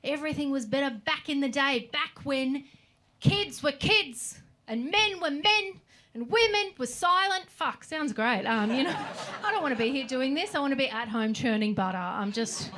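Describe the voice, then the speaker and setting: A woman's voice: sits high, animated, moderate pace, pushed and bright. A woman in her forties with an Australian accent doing a brash, sweary, self-mocking stand-up comedy set on a club stage. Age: 40s. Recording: live club audio, audience noise. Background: audience laughter.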